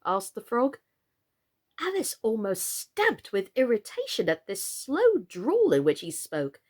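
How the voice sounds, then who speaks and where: An actress reads a children's storybook aloud in an expressive, theatrical manner. Her voice sits fairly high and swoops widely, and she takes her time over each phrase. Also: unhurried.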